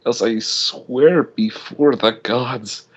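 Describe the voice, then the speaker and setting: A man's voice: mid-range voice, slow, lo-fi sound, pitched above the performer's own voice. A man voicing a flustered young woman in love, stammering and gasping in an overwrought way. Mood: embarrassed.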